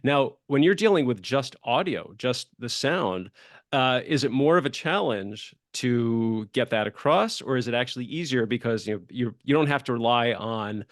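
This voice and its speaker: A man with a medium-pitched voice hosts a casual interview, recorded dry with no room echo. He is chatty and a bit rambling, building long questions with imagined quotes. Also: animated, normal speed. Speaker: show host, interviewer.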